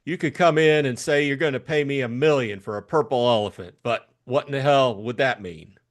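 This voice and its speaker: A man voicing a tough, cynical private detective in a crime audiobook, blunt, slangy and needling, with crisp delivery. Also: medium pitch, a little fast, voice pushed up, lightly acted. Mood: hard, needling.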